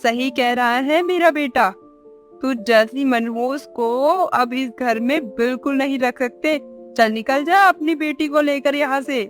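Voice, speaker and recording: A woman's voice: high voice, measured pace, faint music behind. A woman voicing a harsh, scornful older mother-in-law in a scolding, contemptuous way for a moral-story cartoon. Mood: harsh, threatening. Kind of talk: acted dialogue in Hindi moral-story cartoon.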